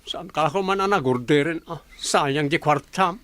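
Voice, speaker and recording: A woman's voice: low-pitched, moderate pace, fairly bright tone, dry recording. A woman playing a chatty young workmate in an audio drama, bantering bluntly with an Ilocano accent. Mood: blunt, curious. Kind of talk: Ilocano radio-style drama acting.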